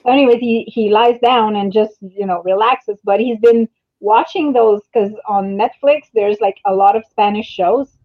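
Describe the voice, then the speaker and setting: A middle-aged woman chatting casually in an animated, storytelling way, with thin phone-like audio. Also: medium pitch, normal speed, no room sound. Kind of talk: casual chat.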